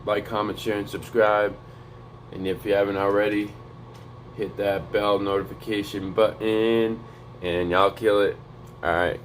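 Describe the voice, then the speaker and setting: Young male vlogger, deep and flat, quiet casual street talk, slight background hum. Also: unhurried. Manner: low, quiet monotone to avoid waking a neighbor. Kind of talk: motivational vlog talk. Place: home in North Dakota.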